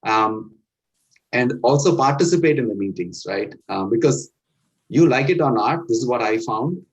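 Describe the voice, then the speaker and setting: A man's voice: mid-range voice, lively intonation, moderate pace, slightly rough. A middle-aged man from India with an Indian accent, giving earnest career advice and often checking in with "right?". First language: Indian language. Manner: earnest, instructive, peppers points with "right?". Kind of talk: motivational talk. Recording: dull lo-fi sound.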